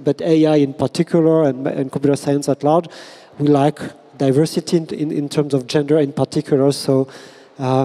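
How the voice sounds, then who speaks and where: Dark-voiced man. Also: fairly high pitch. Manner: hesitant, many restarts and repeated words. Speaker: panelist. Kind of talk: panel discussion. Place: large glass-walled hall. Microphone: handheld mic. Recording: long reverb of a large hall.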